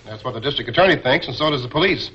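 Snappy reporter, lively high-ish voice, old crime serial, dull soundtrack. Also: male voice, very fast. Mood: eager. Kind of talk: 1940s film serial acting. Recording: dull 1940s film soundtrack.

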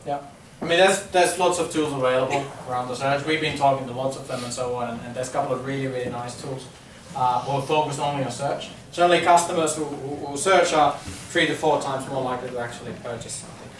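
A man's voice: mid-range voice, fairly even intonation, fast talker. A man in his thirties with a Finnish accent, giving a casual business talk to a room, repeating words and saying um a lot. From Finland. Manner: chatty, repeats words, many ums. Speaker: presenter. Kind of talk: business presentation. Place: conference room with audience. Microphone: far camera, no mic. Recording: echoey room from far off, faint hum.

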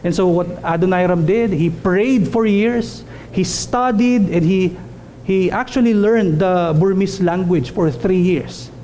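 A man's voice: high-pitched, a little slow, dark tone, a little hiss or hum. A man in his thirties preaching a sermon in a small church hall, earnest and emphatic, repeating phrases for stress.

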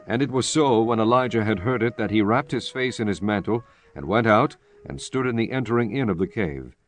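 Casting: man with a fairly low voice, solemn, measured scripted Bible narration over faint music. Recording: music bed under the voice. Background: background music.

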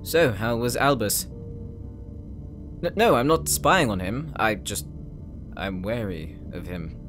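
A man's voice: fairly low pitch, expressive, slow. A man playing a serious, wary, dutiful brother in an anime-style audio drama, speaking earnestly and formally. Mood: wary, concerned. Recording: prominent music behind the voice.

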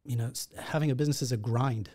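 A man in his forties from Israel, a podcast guest, telling his story in a reflective, measured way at a studio mic. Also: medium pitch, mellow. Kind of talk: conversational storytelling. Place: podcast studio in a martial arts gym. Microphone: boom-arm studio mic with headphones.

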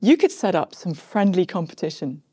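A middle-aged woman coach explains a group coding practice to camera in a clear, friendly way. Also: fairly low pitch, very animated, moderate pace, dark tone. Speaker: software coach. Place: studio with plain backdrop.

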